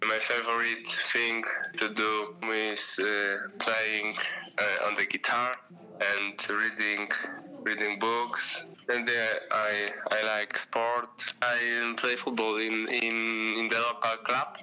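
Man, hesitant student, slow speech, very bright tone. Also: on the low side, completely flat delivery, rough recording. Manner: hesitant, many pauses.

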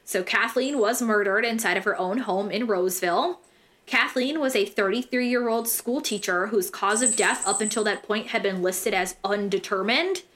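A woman's voice: medium pitch, a bit bright. A young American woman hosting a true crime podcast, telling the story casually in slangy, irreverent language and swearing freely.

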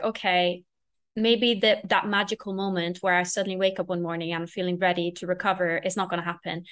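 A woman's voice: mid-range voice, fairly bright tone. A young woman speaking as a guest on a podcast, explaining her views reflectively and often checking in with "right?". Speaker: podcast guest, recovery coach. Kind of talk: casual podcast interview.

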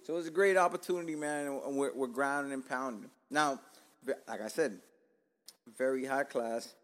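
Profane laid-back Cuban-born podcast host. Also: male voice, mid-range voice, moderate pace, a bit bright. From Cuba. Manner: profane, joking, laid-back street talk. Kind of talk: casual podcast chat.

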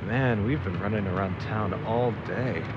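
A man's voice: fairly low pitch, unhurried, lo-fi sound, noisy background, lightly acted. An actor playing a practical, mildly exasperated young man in an audio drama, laid-back and matter-of-fact. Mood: casual, dry. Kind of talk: naturalistic audio drama acting.

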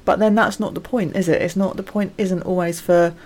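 A woman's voice: lowish voice, steady tone, fairly quick, a little mellow. A woman co-hosting a podcast, chatting casually in a candid, self-deprecating way. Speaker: podcast co-host. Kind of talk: casual chat.

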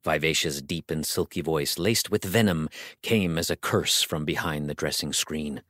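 A man's voice: very deep voice, normal speed, bright, forceful tone, no echo, studio quality. A man narrating a scripted comic novel as a dramatic audiobook reading.

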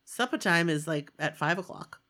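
A hoarse, congested woman from Maine co-hosting a casual podcast, chatty and quick to laugh. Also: fairly low pitch, pushed and bright. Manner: chatty storyteller, laughs often. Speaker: podcast co-host. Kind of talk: casual chat.

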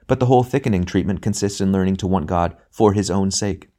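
A man voicing a gentle, patient spirit. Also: low-pitched, lightly acted. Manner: soothing, calm, measured. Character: gentle, patient bright spirit, a grown brother.

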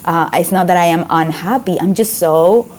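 Venezuelan woman, animated interview guest. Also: fairly low pitch, moderate pace, slight background noise. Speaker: guest, speaker and author. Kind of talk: conversational interview.

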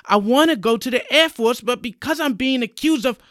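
A man's voice: very high-pitched, lively intonation, fairly quick, fairly bright tone, no echo, clean studio sound, voice pushed up. A man voicing a desperate, indignant young man in a dramatic story, pleading and defiant. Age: young adult. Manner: emphatic, exclaiming, pleading.

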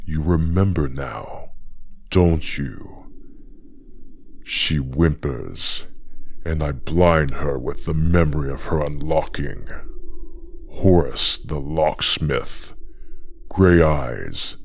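A man playing a sinister, possessive ancient being of river and forest, narrating an audio drama slowly and ominously in a husky voice. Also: a little hiss or hum, heavily acted. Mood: menacing, intimate. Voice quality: husky, very deep. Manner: slow, deliberate, ominous. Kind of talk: dramatic first-person audio fiction narration.

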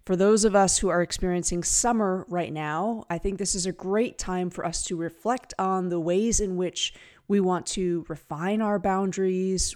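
A woman hosting a podcast, introducing her guest and reflecting on the topic with occasional restarts. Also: fairly low pitch. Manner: warm-toned, reflective, with fillers and restarts. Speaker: podcast host. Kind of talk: podcast interview.

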